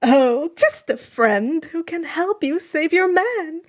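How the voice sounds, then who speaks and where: A woman's voice: extremely high pitch, lively intonation, slow pace, pitched above the performer's own voice, exaggerated voice. A woman voicing a coy, slightly unhinged male trickster god with a chirpy, sing-song manner in a fan fiction reading. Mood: coy, teasing.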